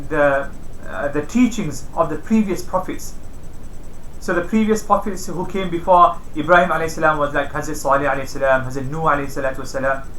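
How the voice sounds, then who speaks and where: Male religious storyteller for an informal lesson. Mid-pitched, explanatory, echoey room with faint noise. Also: sharp tone. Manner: explanatory, repeats phrases for emphasis. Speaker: storyteller and religious teacher hosting a series. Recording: roomy echo, faint background noise.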